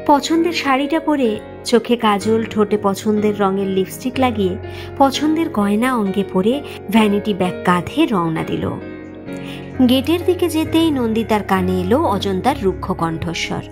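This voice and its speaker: A woman reading a scripted story as narrator in an expressive, dramatic manner. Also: mid-range voice, normal speed. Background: background music.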